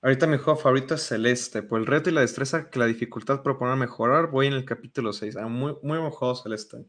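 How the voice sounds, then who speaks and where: A man's voice: mid-range voice, fast talker, fairly bright tone. A man with a Mexican accent hosting a casual podcast, rambling with lots of "o sea". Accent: Mexican Spanish. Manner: rambling, many fillers like "o sea". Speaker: podcast host. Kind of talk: casual discussion.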